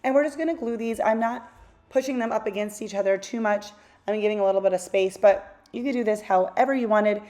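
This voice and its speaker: A woman hosting a craft channel, talking through a tutorial in a casual, rambling voice-over; her voice is a little raspy from losing it. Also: medium pitch, a little fast, a little mellow. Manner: chatty, rambling, self-correcting asides.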